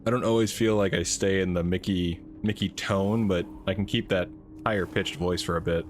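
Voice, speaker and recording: Deep-voiced, self-amused man streaming a game. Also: fairly even intonation, moderate pace, slight background noise. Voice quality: naturally deep voice. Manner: rambling, self-amused, laughs often. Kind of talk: casual gaming commentary.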